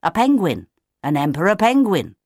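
Fatherly male emperor penguin, voiced by a woman for a storybook: matter-of-fact, booming, patient, dry studio sound. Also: very animated, moderate pace, a little mellow, no echo. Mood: brisk, matter-of-fact. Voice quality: deep.

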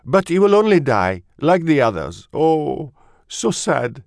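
Man putting on a shrill, melodramatic French mother mouse. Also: sing-song, unhurried, gravelly, a little mellow, no echo, studio quality, exaggerated voice. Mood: dramatic despair. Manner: theatrical, sighing, self-pitying.

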